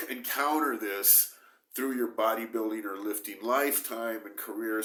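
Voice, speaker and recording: A middle-aged man vlogging to the camera about his training, hoarse from a cold and talking in emphatic, repetitive bursts. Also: fairly low pitch, a bit bright. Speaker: bodybuilding vlogger. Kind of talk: casual talk to camera. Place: small dim room indoors. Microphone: camera close at face, moving. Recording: roomy echo.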